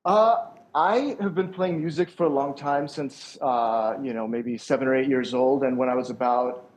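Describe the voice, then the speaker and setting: A man's voice: medium pitch, normal speed, low-quality recording. A young man, a sound engineer, answering interview questions over a call in a thoughtful, rambling way with many restarts.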